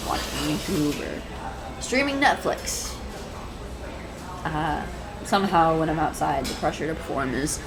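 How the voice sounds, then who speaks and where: A woman's voice: sits low, full character voice, low-quality recording. A woman playing a shy, adorkable young writer in an audio roleplay, speaking hesitantly with stammers, nervous giggles and apologetic phrasing. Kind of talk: intimate one-sided audio roleplay acting. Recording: clear background noise, cafe ambience effects. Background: coffee shop sound effects.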